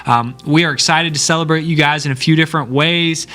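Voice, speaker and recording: A man's voice: a little high, steady tone, a little fast, bright, forceful tone. Chatty young man hosting a stream. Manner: chatty, reads viewer comments, self-deprecating jokes. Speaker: youth stream host. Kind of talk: casual livestream chat.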